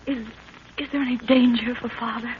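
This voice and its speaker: A woman's voice: fairly high pitch, steady tone, normal speed, lightly acted. A young woman playing an anxious, earnest daughter rescued from captivity, breathless, in 1930s radio acting style. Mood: worried. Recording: dull, noisy 1930s radio recording.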